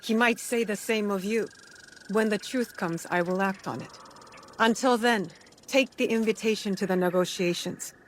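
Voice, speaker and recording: An actress plays a young female ninja in a game story scene. She is guarded and determined, with a mid-pitched voice and short, blunt phrasing, heard over faint ambient noise. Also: normal speed, lightly acted, rough recording. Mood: guarded, resolute. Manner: blunt, terse, resolute. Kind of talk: video game cutscene acting.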